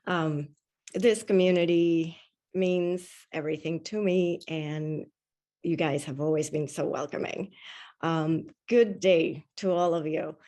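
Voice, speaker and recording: A woman from Rico, a Spanish speaker, giving a storytelling talk at a spiritual service with frequent uhs. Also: fairly low pitch. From Puerto Rico. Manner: warm, unhurried storytelling with frequent "uh". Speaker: guest speaker.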